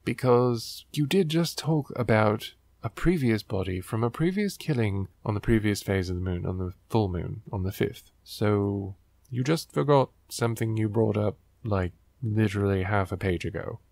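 Man, dry close recording, vocal fry, sarcastic host reading and critiquing, exasperated. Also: on the low side, moderate pace, a little mellow, no echo. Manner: snarky, exasperated, wry. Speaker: host of a book riffing show.

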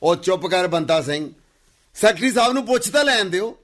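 A man's voice: high-pitched, expressive, brisk, a bit bright. A man playing an authoritative village headman in a radio play, a folksy, commanding peacemaker with a Punjabi accent. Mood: mediating.

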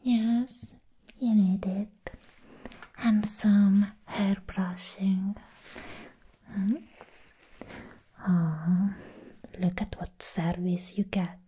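A woman playing a sweet, doting vampire in an ASMR roleplay, soothing the listener in a breathy whisper and gently repeating words. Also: medium pitch, heavily acted. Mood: doting, gentle. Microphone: close ASMR microphone.

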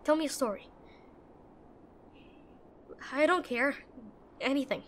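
Woman acting a bossy scared tsundere gf in audio roleplay, high pitched, slow halting lines, faint storm noise behind her. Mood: urgent, pleading. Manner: bossy, urgent, emotional, halting. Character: tsundere young woman, brusque but frightened, protective girlfriend. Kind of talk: roleplay audio drama, spoken to listener.

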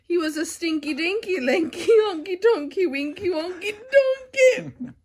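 A woman's voice: very high voice, lively intonation, measured pace. A young woman reading a children's picture book aloud, giggly and struggling to keep a straight face. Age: young adult. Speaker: storybook reader. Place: living room sofa, indoors.

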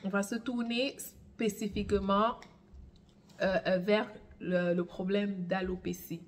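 A woman in her thirties with a mid-pitched voice, slightly affected by a cold. She explains health and hair care advice straight to the viewer. Her delivery is measured and emphatic, with pauses as she searches for words. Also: flat delivery, a little hiss or hum. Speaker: host of a natural health and hair care channel. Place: small room at home, indoors.

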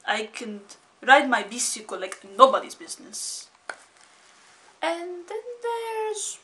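A solemn female vampire attorney, played by a woman in an improv comedy bit. She talks very slowly and theatrically, as if each word weighs a lot. Her voice sits a little high. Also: pushed and bright, full character voice. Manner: slow, drawn-out, theatrical delivery.

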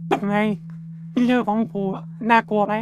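A woman voicing a boastful schoolkid in a cartoon, telling a scary story slowly and suspensefully, in halting, drawn-out phrases. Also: medium pitch, level tone, lo-fi sound, natural voice. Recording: music behind the voice. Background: clear background music.

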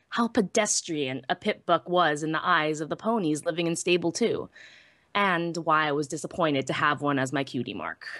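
A woman's voice: medium pitch, a bit bright, no room sound, close to an everyday voice. Dry-sounding, chatty young unicorn mare read by a woman. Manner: conversational, wry, explaining. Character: chatty, self-deprecating young female unicorn pony. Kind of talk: first-person audiobook reading.

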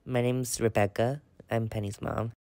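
A woman's voice: a bass voice, fairly even intonation, normal speed, a little mellow, no echo, studio quality. A woman playing a weary, practical mother in a pretend-play story, firm and matter-of-fact. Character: weary, practical mom of a young girl.

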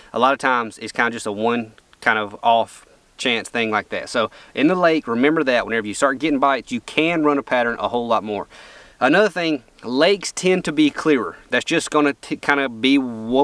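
A man in his thirties with a drawl talks easily and casually, full of "kinda" and "you know", as he explains his approach. His voice sits at a medium pitch. The sound is dry and open-air, picked up from a little way off the mic on a boat. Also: a bit bright, dry recording, recorded from a distance. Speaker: pro bass angler. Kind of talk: casual instructional vlog. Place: outdoors on a boat on a lake.

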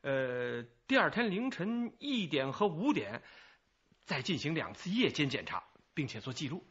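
A man's voice: fairly high pitch, sing-song, unhurried, fairly bright tone, breathy edge, dull audio, heavily acted. An actor playing a flustered, defensive male security guard leader in a radio crime drama. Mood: explaining. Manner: defensive, agitated.

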